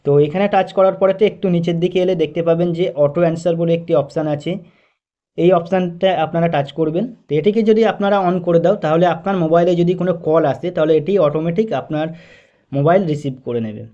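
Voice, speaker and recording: A man with a fairly high, very mellow voice, guiding listeners through instructions step by step. He is methodical and repeats his phrasing as he goes. The recording is a slightly dull, lo-fi voice-over. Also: fast talker. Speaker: tech tutorial host. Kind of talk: step-by-step phone tutorial narration.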